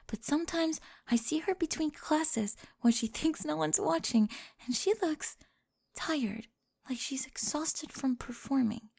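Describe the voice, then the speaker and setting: A woman playing a remorseful, lovestruck high school cheerleader in an ASMR roleplay, breathy and hesitant, often dropping to a whisper. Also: a little mellow, exaggerated voice. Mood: nervous, stunned. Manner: hesitant, emotional, confessional. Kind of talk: ASMR romantic roleplay monologue.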